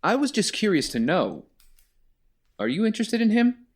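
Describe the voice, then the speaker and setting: A man's voice: high-pitched, big pitch swings, normal speed. Glib young rich guy, played by a man, swooping intonation. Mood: teasing. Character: teasing young billionaire brother, glib and secretive. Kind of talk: audio drama acting.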